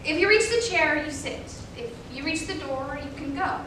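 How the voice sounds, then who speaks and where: A woman playing a gentle, patient male child psychologist in staged reader's theater, measured and coaxing. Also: animated. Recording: echoey room, distant mic, faint rumble.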